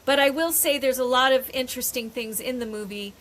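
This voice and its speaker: An older woman co-hosting a podcast in casual chat, rambling and often pausing to search for words. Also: high voice, a crowd in the background. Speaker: podcast co-host. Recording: faint background noise.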